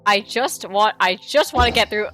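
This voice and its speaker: A woman's voice: medium pitch, moderate pace, pushed and bright, soft background music. A woman livestreaming a game, reacting to it in casual live commentary.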